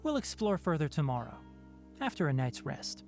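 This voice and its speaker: An actor playing a composed, analytical young male forest healer in a video game, articulate and measured. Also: on the high side, very animated, gravelly, loud music behind the voice. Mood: thoughtful. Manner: articulate, measured, matter-of-fact.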